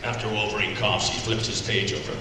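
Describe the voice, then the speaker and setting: A man acting from a script at a live table read with gruff, dry delivery, recorded from far off in an echoey hall. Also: fairly low pitch, flat delivery, a little fast, lightly acted. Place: large convention room with live audience. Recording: echoey hall, distant mic, faint noise.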